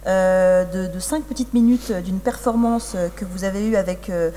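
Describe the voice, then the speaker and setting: Mellow-voiced woman moderating, many pauses. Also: medium pitch, in a large hall. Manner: polite, many filler pauses. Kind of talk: conference round-table moderation.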